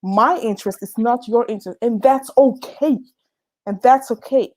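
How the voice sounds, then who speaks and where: A woman's voice: a little high, normal speed, darkish tone, no echo. A woman from the Dominican Republic, a guest in a heated casual debate, who talks with animation, laughs mid-sentence and restarts words.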